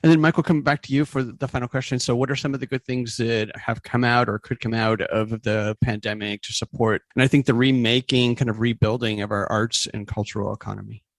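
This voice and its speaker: A man's voice: medium pitch, fairly even intonation, a little fast, hardly any pauses, dry recording. A man hosting an interview, putting questions to guests in a conversational way. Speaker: interview host.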